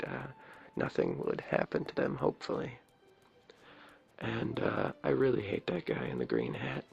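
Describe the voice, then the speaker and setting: A man with a low raspy voice giving rambling, playful ASMR commentary as he plays a video game. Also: a little mellow, highs cut off. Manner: rambling, playful, jokingly self-important. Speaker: game streamer. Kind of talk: ASMR let's play commentary.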